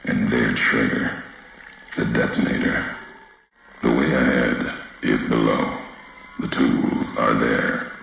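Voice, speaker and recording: A grim, philosophical wanderer of a video game wasteland, with an extremely low voice full of heavy gravel. He delivers brooding, vengeful monologues very slowly, in terse and deliberate fragments that sound like quiet threats. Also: fairly even intonation, heavy reverb, heavily acted. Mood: grave, ominous. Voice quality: gravelly, very deep, breathy. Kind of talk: slow, brooding dramatic monologue.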